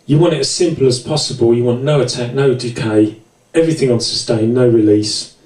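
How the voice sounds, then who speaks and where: A man presenting an informal hands-on synthesizer tutorial in a conversational, explanatory way, with hesitant pauses. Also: medium pitch, steady tone, a little mellow. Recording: roomy echo, distant microphone.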